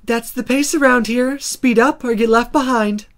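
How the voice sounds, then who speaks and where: A performer voicing a brisk, cynical, teasing student girl from a visual novel. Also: mid-range voice, fairly bright tone, pitched above the performer's own voice, close to an everyday voice. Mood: brisk, blunt. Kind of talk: visual novel read-aloud character voice.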